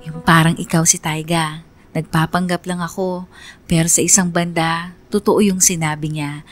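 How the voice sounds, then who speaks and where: A woman's voice: fairly low pitch, flat delivery, normal speed, faint music behind, natural voice. A woman playing a lovestruck, conflicted young woman narrating her own story in a confiding radio drama style. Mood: guilty, torn. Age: young adult. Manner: confiding, earnest.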